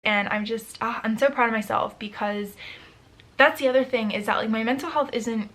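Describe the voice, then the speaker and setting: Chatty young woman, slightly hoarse. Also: on the high side, moderate pace, fairly bright tone. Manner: chatty, upbeat, with frequent fillers. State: slightly hoarse, losing her voice. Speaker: vlogger. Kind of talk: casual vlog talk to camera.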